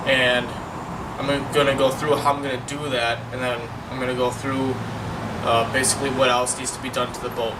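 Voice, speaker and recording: A man's voice: medium pitch, flat delivery, brisk, very noisy. A young man with a cold, sounding congested, casually explaining his boat project in a rambling vlog full of fillers. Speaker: vlogger.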